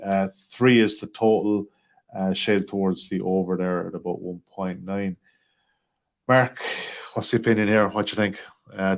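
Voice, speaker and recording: Betting podcast host, lowish voice, brisk and chatty. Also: male voice. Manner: brisk, conversational, steers the talk to guests. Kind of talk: casual podcast hosting, reading out odds.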